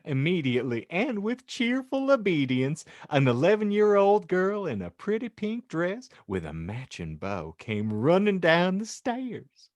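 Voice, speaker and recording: A man's voice: high voice, huge pitch swings, measured pace, few pauses, no room sound. A man narrating a comic audiobook with mock-earnest, theatrical delivery. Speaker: podcast host and narrator.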